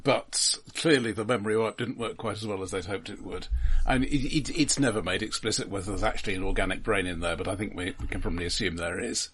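A man co-hosting a podcast, discussing a film in a thoughtful, measured way with a few stammered restarts. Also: lowish voice, a bit bright, breathy edge. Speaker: podcast co-host.

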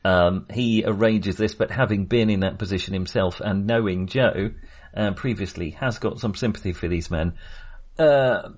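A man co-hosting a film discussion, talking casually and haltingly with a wry manner. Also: lowish voice, a little mellow. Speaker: film podcast co-host.

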